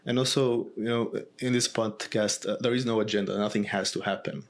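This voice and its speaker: A man hosting a podcast, speaking in a slow, gentle way as he guides the conversation. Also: fairly low pitch, fairly even intonation. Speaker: podcast host.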